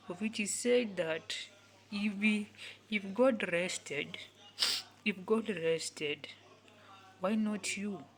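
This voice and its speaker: Woman in her 20s, talks real slow, repeats every line over and over, pleading tone, goes whispery sometimes. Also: mid-range voice, low-quality recording. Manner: repeats each phrase several times, earnest and pleading. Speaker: youth speaker. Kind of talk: repetitive motivational talk.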